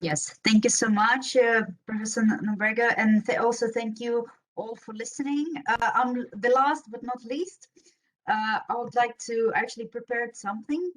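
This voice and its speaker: A woman's voice: a little high, moderate pace, a bit bright, rough recording. Young Russian-accented woman presenting. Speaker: panel speaker. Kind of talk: informal career presentation. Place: online video meeting with screen sharing.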